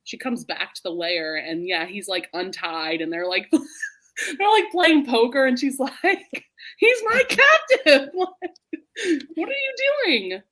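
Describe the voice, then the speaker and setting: A woman's voice: fairly high pitch, slow pace. Casual, chatty woman hosting a book discussion. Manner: animated, laughs readily while talking; informal, heavy use of "like". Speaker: host of a book readalong live show. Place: remote group video call.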